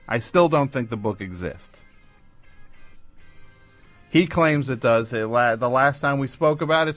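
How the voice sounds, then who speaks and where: A man hosting a comedy radio show, bantering in a dry, skeptical, deliberate manner. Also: mid-range voice, a bit bright, no room sound.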